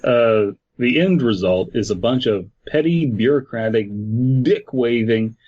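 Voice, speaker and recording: A man's voice: medium pitch, expressive, measured pace, a little mellow, muffled recording. A man co-hosting a podcast, reading a story aloud and chatting casually with jokes and swearing. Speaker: podcast co-host.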